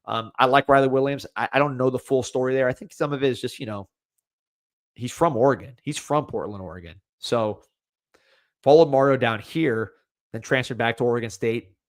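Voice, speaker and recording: A man's voice: mid-range voice, a little fast, no echo, studio quality. A young adult man with a light Cuban-American accent hosting a casual livestreamed sports podcast, rambling with restarts and a lot of "man.". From United States. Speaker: podcast host.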